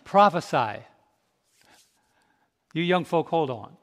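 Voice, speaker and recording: Pastor guy in his 50s preaching, high-pitched, asks the crowd questions, goes soft then loud, big echoey church hall. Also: animated. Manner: preaching with call-and-response questions to the congregation; swings from soft, slow reflection to loud, rising exhortation. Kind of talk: sermon. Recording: long reverb in a large hall.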